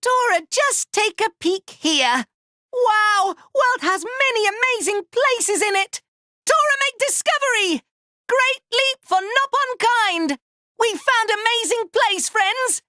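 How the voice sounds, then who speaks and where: A man voicing a small, excitable, proud furry inventor creature for a video game, squeaky and cartoonish, talking in childlike broken grammar and in the third person. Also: slow, dry recording, clean studio sound, full character voice. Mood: cheerful, proud. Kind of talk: video game character voice lines.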